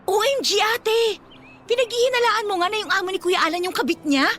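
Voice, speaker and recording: A woman playing an excitable, gossipy younger sister in a radio drama, blunt and teasing with dramatic exclamations. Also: extremely high pitch, fairly quick, a little hiss or hum. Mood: shocked, excited.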